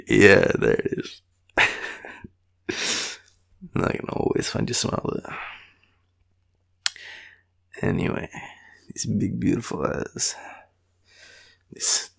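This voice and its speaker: A tired young Australian man murmuring an affectionate, rambling boyfriend roleplay in a deep, gravelly, breathy voice. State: tired, sleepy. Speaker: boyfriend roleplay audio performer. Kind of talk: intimate sleepy roleplay, ASMR-like rambling.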